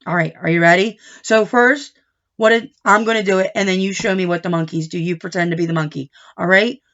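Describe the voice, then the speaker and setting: A woman reading a picture book aloud to children, expressive and coaxing, pausing to ask them questions, on a dull home recording. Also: mid-range voice. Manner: expressive, coaxing, pauses to question and prompt young listeners. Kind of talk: animated children's read-aloud with questions to the listeners.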